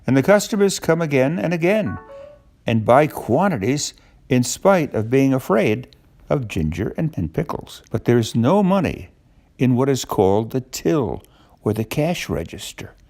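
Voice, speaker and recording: An older man reading a children's storybook aloud, pausing to explain things simply to a young listener. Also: mid-range voice, big pitch swings, darkish tone.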